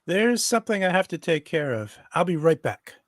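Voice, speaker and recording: A man's voice: fairly high pitch, very animated, normal speed. A man playing an earnest young woman, a warrior's companion, at a live comedy table read.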